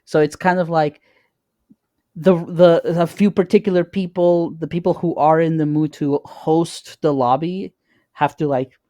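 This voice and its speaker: A man co-hosting a podcast, chatting informally and haltingly, often repeating words as he goes. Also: on the high side, steady tone, mellow, no room sound, clean studio sound. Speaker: podcast co-host. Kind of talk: casual chat.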